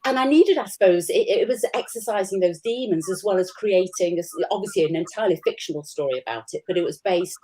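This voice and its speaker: A woman from Yorkshire speaks with an English accent as an author on a panel. Her voice sits a little low, and her style is conversational. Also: animated, quick pace, hardly any pauses, dark tone, low-quality recording. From Yorkshire, England.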